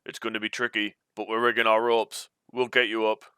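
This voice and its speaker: A man's voice: fairly low pitch, level tone, brisk, bright, forceful tone, clean tone, no echo, studio quality. A man voicing a firm, impatient polar researcher in a storybook. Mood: urgent. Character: impatient but caring male polar research expedition member. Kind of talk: storybook character voice.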